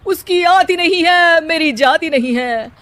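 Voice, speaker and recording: A woman's voice: extremely high pitch, unhurried, fairly bright tone, no echo, pitched above the performer's own voice. A woman voicing an idle, cocky young husband who declaims theatrically in rhymed couplets. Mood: self-pitying, boastful. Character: idle, cocky young husband obsessed with silly rhyming poetry.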